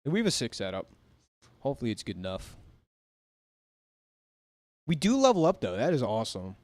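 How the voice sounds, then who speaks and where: A man's voice: mid-range voice, wildly swooping pitch, moderate pace, a little mellow, dry recording. An American man chatting casually on a live gaming stream, laid-back and laughing often as he reads chat. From United States. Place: home near a big city.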